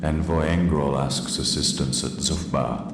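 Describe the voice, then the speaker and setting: A regal old Emperor in a fantasy game, grave and formal, with an extremely low voice and slow, deliberate delivery. The recording echoes under loud, rainy noise. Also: male voice, steady tone. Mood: solemn, measured. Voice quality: deep, gravelly. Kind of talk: fantasy game cutscene acting. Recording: echoing hall, loud rain-like noise.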